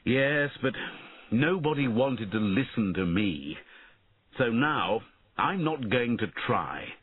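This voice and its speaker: A man's voice: mid-range voice, wildly swooping pitch, takes it slowly, breathy edge, no echo, narrow, tinny audio, voice pushed up, exaggerated voice. A man voicing a proud, money-minded knight in a storybook, bold and emphatic. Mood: bitter. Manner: emphatic, declaiming. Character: proud, mercenary male knight, bold and disillusioned.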